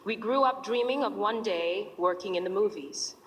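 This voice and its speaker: A woman from Kenya presenting an award on stage in a measured, poised scripted speech. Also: medium pitch. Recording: distant broadcast audio, loud noise.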